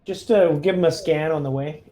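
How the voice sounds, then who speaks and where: A man chatting casually as a player in a tabletop roleplaying game, thinking out loud in a tentative way. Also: on the high side, fairly quick.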